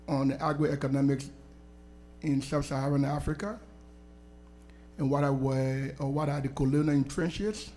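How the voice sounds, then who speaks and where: A man's voice: medium pitch, warm tone, a little hiss or hum. A man from Liberia, introducing himself on a panel in a formal, courteous way with long listing sentences. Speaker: researcher on a panel.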